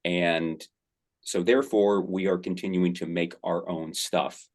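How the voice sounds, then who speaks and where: A man's voice: sits low, fairly even intonation, measured pace. A middle-aged man, an actor, answering interview questions in a rambling, enthusiastic way with many fillers.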